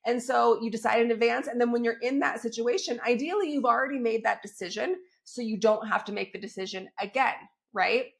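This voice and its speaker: Woman fitness coach, fairly high voice, gravelly and crackly from a cold, upbeat and chatty. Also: a little fast. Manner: upbeat and chatty, keeps checking in with "right?" and "okay?". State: has a cold, voice crackly and squeaky. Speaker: fitness coach and podcast host. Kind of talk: solo podcast, coaching talk.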